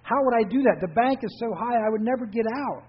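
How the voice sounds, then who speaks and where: A man's voice: expressive, brisk, slightly rough, heavily acted. A man voicing a curious, earnest young river dweller who pleads in a raised voice. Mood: puzzled. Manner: eager, pleading, raises pitch high. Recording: dull lo-fi recording.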